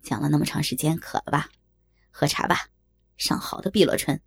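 A woman playing a cautious, hesitant deputy mayor who speaks in a measured, deliberate way in an audio drama. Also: low voice, vocal fry, slightly rough, soft background music, exaggerated voice. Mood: hospitable.

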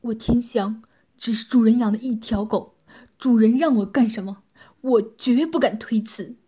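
A woman's voice: medium pitch, level tone, slow, dark tone, slightly rough. A woman voicing a frightened, submissive man held captive in a dramatized audiobook, pleading in a servile manner. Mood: fearful, servile.

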